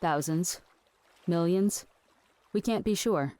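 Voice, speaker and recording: An earnest woman researcher in an audio drama. Her voice sits a little low for a woman. She lays out fringe theories in a measured, pedantic, matter-of-fact manner. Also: dark tone, dry recording. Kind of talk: scripted audio drama acting.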